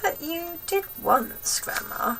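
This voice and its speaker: Woman playing a young boy of eight, polite, meek, soft but bright, storybook character, slightly away from the mic. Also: very high-pitched, lively intonation, slow pace, vocal fry, distant mic, voice pushed up, exaggerated voice, poor audio. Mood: meekly protesting. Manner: meek, protesting softly. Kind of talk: acted children's storybook reading.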